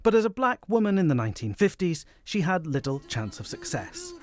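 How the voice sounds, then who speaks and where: A man's voice: high-pitched, big pitch swings, brisk. A British man reading scripted narration for a news obituary report. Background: music.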